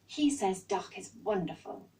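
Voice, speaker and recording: A woman's voice: mid-range voice, lively intonation, measured pace, dark tone, recorded from a distance. A woman with an English accent voicing an earnest, childlike young male owl in a storybook. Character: eager young male barn owl, timid of the dark.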